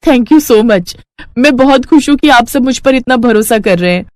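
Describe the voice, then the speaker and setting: A woman's voice: a little high, lively intonation, normal speed, no echo, clean studio sound, pitched above the performer's own voice, close to an everyday voice. A woman voicing a determined, good-natured young daughter-in-law in a cartoon story, polite and earnest. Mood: grateful. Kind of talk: Hindi cartoon story acting.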